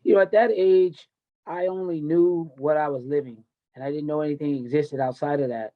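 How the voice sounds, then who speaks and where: A man in his fifties with an American accent and a fairly high voice, talking as a podcast guest. He tells personal stories bluntly and repeats himself for emphasis. The audio is somewhat dull and lo-fi. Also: steady tone, normal speed. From United States, grew up in Tennessee and California. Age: 50s. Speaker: podcast guest, MMA coach. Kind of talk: candid personal storytelling in an interview.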